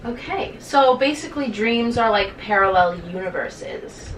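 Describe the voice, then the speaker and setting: A woman's voice: a little high, expressive, unhurried. A young woman co-hosting a casual podcast, chatty and giggly, with lots of "like". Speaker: podcast co-host. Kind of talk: casual chat. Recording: echoey room, mic at a distance, faint hiss.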